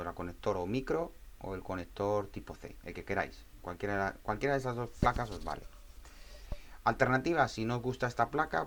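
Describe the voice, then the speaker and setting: A man with an accent from Spain narrating an informal technical tutorial, explaining step by step and often checking in with short tag questions. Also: fairly low pitch. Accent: Spanish from Spain. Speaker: tutorial presenter.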